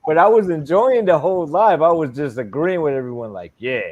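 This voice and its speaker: A man's voice: on the high side, lively intonation, a little fast, darkish tone, lo-fi sound. Laid-back man in his forties. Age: 40s. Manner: loose, laughing storyteller, swears freely. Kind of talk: casual chat.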